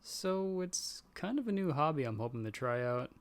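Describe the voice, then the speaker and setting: A man's voice: fairly high pitch, animated, moderate pace. A man playing a nervous, friendly young guy in an audio roleplay, talking in a casual, hesitant, self-deprecating way. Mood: sheepish, hesitant. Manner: chatty, hesitant, sheepish. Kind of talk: casual one-sided roleplay dialogue.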